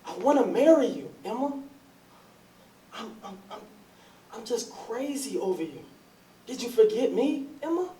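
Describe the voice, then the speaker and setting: A man's voice: very high-pitched, sing-song, unhurried, pauses often, warm tone, heavily acted. A man playing an ardent, insistent suitor in his forties in a readers theatre drama, with folksy, effusive speech. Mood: ardent, eager. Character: ardent, insistent 42-year-old man courting his lost sweetheart. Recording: roomy, distant, noisy recording.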